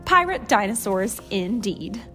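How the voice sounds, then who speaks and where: A woman's voice: medium pitch, big pitch swings, a little slow, fairly bright tone, soft background music. A woman voicing an amused, incredulous museum guide in a children's story, full of exclamation. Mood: amused disbelief.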